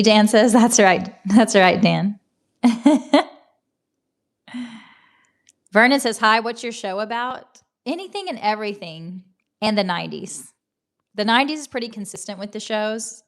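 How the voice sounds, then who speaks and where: A woman in her thirties from Tennessee with a Southern American accent, hosting a casual livestream, chatting, laughing often and greeting viewers by name. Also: medium pitch. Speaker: livestream host. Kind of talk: casual chat reading comments.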